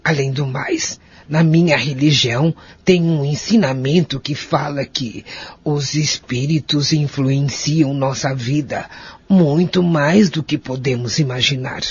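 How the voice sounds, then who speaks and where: A man acting a patient, devout friend who gives spiritual counsel in a Brazilian Portuguese audio drama, measured and gently instructive. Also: fairly high pitch, husky, full character voice. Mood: calm, reassuring. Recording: dull, muffled audio.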